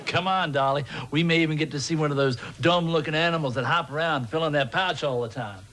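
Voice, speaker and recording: A man playing a wry, matter-of-fact guide in a scripted comedy sketch, with a dry, deadpan delivery. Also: a little high, brisk, close to an everyday voice.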